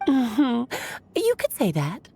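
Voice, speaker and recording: A whimsical mage who loves telling stories in a fantasy game. Her voice is fairly high and very animated, grandiose and teasing, with faint music behind it. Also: slow, heavily acted. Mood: matter-of-fact. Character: playful theatrical grown woman mage, whimsical storyteller aunt. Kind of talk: video game voice acting.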